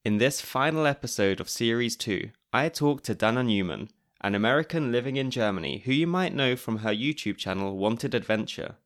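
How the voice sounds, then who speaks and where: A British man narrating his podcast from a script, with a wry, chatty delivery. His voice sits at an ordinary middle pitch. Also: animated, moderate pace, hardly any pauses, fairly bright tone. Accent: British English. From Britain. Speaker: podcast host.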